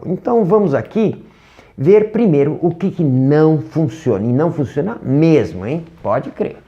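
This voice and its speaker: Brazilian Portuguese accent, English teacher, online lesson, animated delivery, demonstrates pronunciation, lo-fi audio. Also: medium pitch, moderate pace. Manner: animated, asks rhetorical questions, demonstrates sounds.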